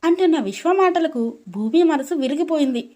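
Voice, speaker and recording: Woman, high pitch, storyteller, scripted dramatic narration. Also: lively intonation, moderate pace, a little mellow.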